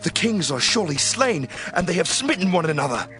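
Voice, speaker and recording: Forceful, eager ancient warrior. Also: male voice, fairly high pitch, big pitch swings, a little fast, soft background music. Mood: excited, triumphant. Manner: forceful, proclaiming. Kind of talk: dramatized scripture acting.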